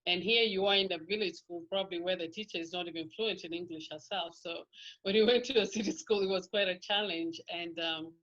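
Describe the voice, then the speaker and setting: Kenyan woman, middle-aged, lowish voice, rambling interview guest, dull lo-fi recording. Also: fairly even intonation, fairly quick, a bit bright. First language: Kikuyu. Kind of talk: casual life-story interview.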